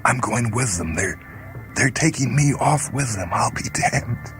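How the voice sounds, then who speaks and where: A man voicing an awestruck, doomed spaceman, breathy and halting, in a dramatic story reading. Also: medium pitch, expressive, music in the background, voice pushed up, natural voice. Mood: awed, dazed. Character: awestruck male spaceman drifting away to his death.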